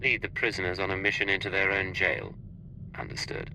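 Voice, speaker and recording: An actor playing a cold, commanding male intelligence agent in a video game, speaking in a gravelly, clipped way. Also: low voice, flat delivery, fairly quick, pushed and bright, a little hiss or hum, low-quality recording. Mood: cool, businesslike. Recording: music behind the voice.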